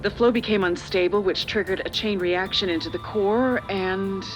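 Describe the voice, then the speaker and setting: A measured middle-aged official played by a woman, persuasive and faintly patronizing, speaks as a calculating authority in a game drama. Music plays behind the voice. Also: mid-range voice, close to an everyday voice, low-quality recording. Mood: evasive, coaxing. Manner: measured, persuasive, slightly condescending. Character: older female corporate official and parent, calculating, persuasive, patronizing. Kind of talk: video game dramatic voice acting.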